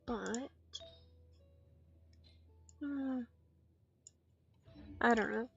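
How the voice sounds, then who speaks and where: A woman giving casual solo commentary on a game stream in a playful, dryly self-deprecating, halting way. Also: fairly high pitch, creaky. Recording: dull lo-fi mic with faint noise and clicks.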